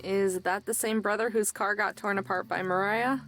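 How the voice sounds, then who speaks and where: A woman's voice: medium pitch, steady tone, normal speed, a bit bright, close to an everyday voice. An actress playing a dry, guarded, teasing young woman in a visual novel, with a casual sardonic delivery.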